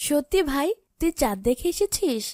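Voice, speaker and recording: A woman's voice: high voice, lively intonation, moderate pace, dark tone, no echo, studio quality. A woman playing a poor, caring orphaned older sister in a cartoon, plaintive and tender. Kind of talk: cartoon drama voice acting.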